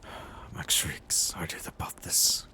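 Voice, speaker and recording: A man playing a nervous, excitable fantasy warrior in a video game roleplay, muttering to himself and calling out. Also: unhurried, whispered. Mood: hushed, nervous excitement. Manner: hushed muttering to himself, breaking into shouts. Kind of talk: in-character game roleplay commentary.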